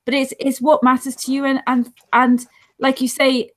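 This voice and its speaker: Woman, thirties, earnest, rambling, restarts sentences, slightly high voice, headset on a video call. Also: flat delivery, moderate pace. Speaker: guest on support show. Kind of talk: casual video-call chat. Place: dim room at home. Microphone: earphone headset on video call.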